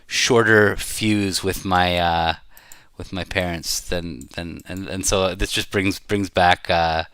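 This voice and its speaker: A middle-aged man giving casual live commentary as he plays a card game, rambling and halting as he thinks aloud. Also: low voice, fairly even intonation, a bit bright, smooth, dry recording. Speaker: bridge player commentating while playing.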